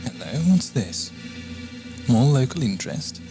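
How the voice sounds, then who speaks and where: A man's voice: mid-range voice, big pitch swings, measured pace, vocal fry, over music, low-quality recording. A man playing an impatient, taunting villain in an audio drama. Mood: impatient, smug. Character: impatient, smug male villain.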